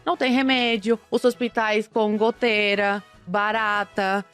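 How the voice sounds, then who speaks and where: A woman's voice: mid-range voice, little pitch movement, measured pace, fairly bright tone, smooth, faint music behind. Woman, emphatic. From Cuba. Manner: emphatic, piles up short clipped phrases. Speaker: podcast guest. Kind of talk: casual podcast conversation.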